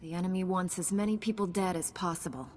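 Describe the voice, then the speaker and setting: A woman's voice: lowish voice, fairly even intonation, fast talker, darkish tone, slight background noise, natural voice. An actress as a composed, earnest young Jedi woman. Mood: resolute. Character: composed, earnest female Jedi knight, young adult, helpful. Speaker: game character. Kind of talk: scripted video game dialogue.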